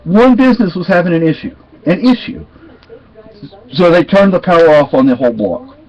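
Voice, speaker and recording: A man's voice: fairly high pitch, expressive, normal speed. A man from Texas with a Southern accent, telling rambling, folksy stories in a casual vlog, often restarting his sentences. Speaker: vlogger. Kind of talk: casual storytelling chat. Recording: dull lo-fi sound.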